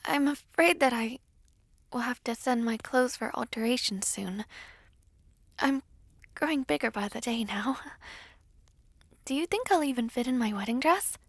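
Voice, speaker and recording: A woman playing a gentle, modest young princess in an audio roleplay, soft and breathy, speaking politely and hesitantly. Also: fairly high pitch, lively intonation, normal speed, pauses often, dry recording. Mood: gently anxious. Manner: soft-spoken, hesitant, polite, formal diction.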